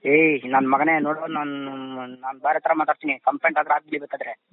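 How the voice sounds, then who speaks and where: A man's voice: a little high, flat delivery, a little fast. A man with a rural Karnataka accent arguing in an agitated, repetitive way in a heated phone conversation. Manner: agitated, argumentative, repeats himself. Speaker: prank call victim. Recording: tinny narrowband phone line.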